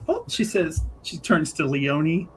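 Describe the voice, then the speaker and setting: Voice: medium pitch, sing-song, measured pace, a little hiss or hum, rough recording. A player in a tabletop roleplaying game, casually describing what a character does. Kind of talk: casual improvised roleplay chat.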